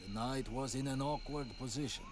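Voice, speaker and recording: An actor playing a solemn third-person knight. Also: male voice, mid-range voice, fairly quick, noisy background, lightly acted. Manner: formal, speaks of himself in third person. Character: proud male knight warrior, third-person speech. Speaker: game character. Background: game music.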